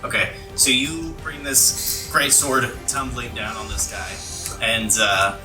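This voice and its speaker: A man's voice: medium pitch, fairly even intonation, slow. A man acting as game master, improvising vivid blow-by-blow narration of a tabletop game in casual language. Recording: roomy, distant sound with music behind. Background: background music.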